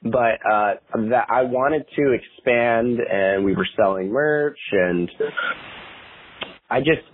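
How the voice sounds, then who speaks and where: A man's voice: medium pitch, slow, slight background noise. A man in his twenties talking casually as an interview guest, rambling with many fillers and restarts. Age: 20s. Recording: tinny phone-like audio.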